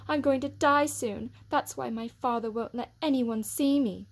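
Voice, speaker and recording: Storybook role: woman reading as a spoiled, fretful young boy, high and whiny with a husky, breathy edge. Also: measured pace. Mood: gloomy. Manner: plaintive, sniffling delivery.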